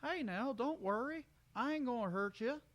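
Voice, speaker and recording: A man's voice: very high voice, lively intonation, normal speed, a bit bright, pitched above the performer's own voice. A man voicing a confused, kindly, innocent cartoon pony with folksy country talk and a stammering manner. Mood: friendly, confused.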